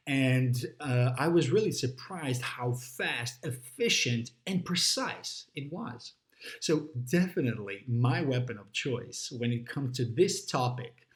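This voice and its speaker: A man with a Croatian accent gives a lecture to fellow dentists in a middle-pitched voice. He pauses often, filling gaps with "uh" and "um" and restarting his phrases. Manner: hesitant, many fillers and restarts. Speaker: orthodontist lecturer.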